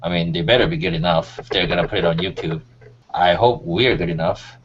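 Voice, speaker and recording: A man's voice: sits low, a little fast. A man giving his opinions casually as a panelist on a music talk show. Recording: muffled audio with faint noise.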